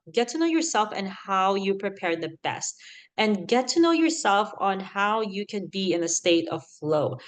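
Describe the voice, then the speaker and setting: A woman in her thirties from the Philippines, with a Filipino accent that leans American, giving animated, chatty podcast advice and laughing often. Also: mid-range voice. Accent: Filipino, with an American lilt. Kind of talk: casual advice talk.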